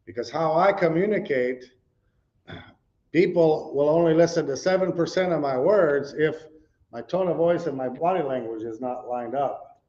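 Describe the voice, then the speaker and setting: A man's voice: fairly high pitch, normal speed, a little mellow. A middle-aged man preaching in a loose, conversational way, often saying "you know" and asking his audience questions. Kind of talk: conversational teaching sermon with slides. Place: church meeting room with a responding audience. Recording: dull lo-fi recording.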